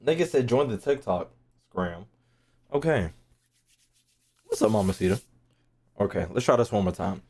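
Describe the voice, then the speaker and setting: A man's voice: fairly low pitch, lively intonation, normal speed, pauses often, a little mellow, slightly rough. A young man giving casual livestream game commentary, slangy and profane, with playful rants. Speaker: game streamer.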